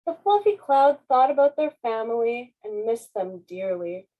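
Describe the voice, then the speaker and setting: A woman's voice: fairly high pitch, expressive, moderate pace, mellow, poor audio. A woman, a children's author, speaking at a public reading of a picture book. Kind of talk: slow storybook narration.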